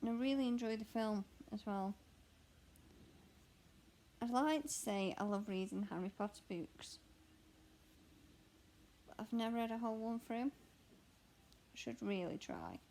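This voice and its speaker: A young woman with a croaky voice, chatting candidly and rambling while she stitches at home. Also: on the high side, measured pace, pauses often, a little mellow. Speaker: cross stitch vlogger and mother. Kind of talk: casual chat answering a question tag while stitching.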